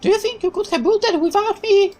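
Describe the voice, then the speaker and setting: A woman reading aloud as a spirited young female mechanic in a visual novel, talking in a high, exclaiming, indignant voice. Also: fairly quick, darkish tone.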